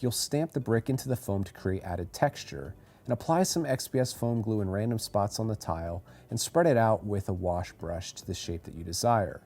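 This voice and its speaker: A man reading a scripted how-to voice-over as a tutorial narrator, giving clear step-by-step instructions. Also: lowish voice, dark tone.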